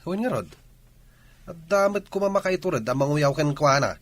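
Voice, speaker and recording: A man's voice: fairly high pitch, expressive, a little fast, no room sound. A man playing a mildly aggrieved Ilocano village husband, talking conversationally in a comedy radio drama. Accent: Filipino, Ilocano.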